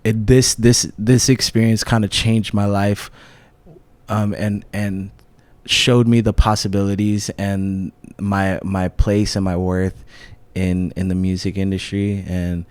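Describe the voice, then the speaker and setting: Laid-back low-voiced man from Hawaii. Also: flat delivery, slow pace, dry recording. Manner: laid-back, many fillers and restarts. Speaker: guest musician. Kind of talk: casual interview talk.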